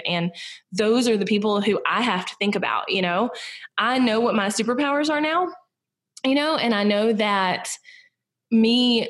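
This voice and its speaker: A woman in her thirties speaks with a clear Southern US accent. Her voice sits in an ordinary middle register. She talks in a loose, chatty way, circling her point with "like" and "you know". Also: mid-range voice. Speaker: podcast guest, business owner. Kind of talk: casual conversational interview.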